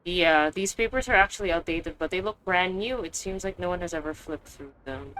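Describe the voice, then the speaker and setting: A woman's voice: lowish voice, a little fast, fairly bright tone, slight background noise, low-quality recording. A woman streaming a game, chatting casually with slangy reactions and reading dialogue aloud. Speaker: gaming streamer. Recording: game music behind the voice.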